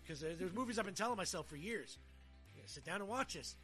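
A woman's voice: fairly low pitch, animated, quick pace, fairly bright tone, soft background music. A woman hosting a casual podcast chat, talking informally and emphatically with plenty of swearing. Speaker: podcast host.

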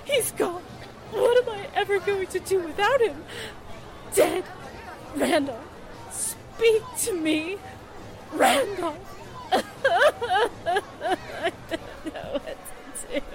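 An actress playing a grief-stricken middle-aged widow and former film star in a melodramatic 1940s radio drama style. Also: female voice, very high voice, a faint crowd. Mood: anguished grief. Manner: melodramatic, anguished, drawn-out delivery.

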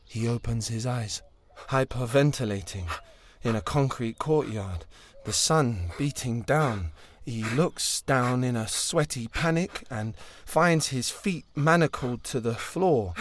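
A man reading a dark horror story aloud, intense, swinging between urgent peaks and low hushed passages. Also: takes it slowly, a little hiss or hum. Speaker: audiobook reader. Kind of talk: scripted dramatic horror narration.

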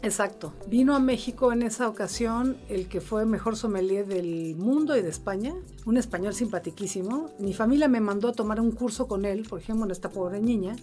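A woman's voice: mid-range voice, brisk, hardly any pauses, dark tone. Middle aged mexican lady chatting casually, funny and slangy, interview guest, music in the back. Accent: Mexican Spanish. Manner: chatty, humorous, colloquial. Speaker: interview guest, wine importer and sommelier. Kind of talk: casual conversational storytelling.

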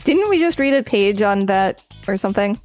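A woman with a mid-range voice co-hosts a podcast. She moves between reading aloud and casual chat. Soft music sits in the background. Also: mid-range voice, animated, moderate pace. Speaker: podcast co-host.

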